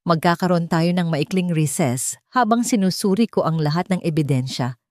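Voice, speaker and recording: A woman voicing a calm, authoritative female judge in an audio story, measured, formal and firm. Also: on the low side, no echo, clean studio sound, natural voice. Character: calm, authoritative female family-court judge delivering a verdict.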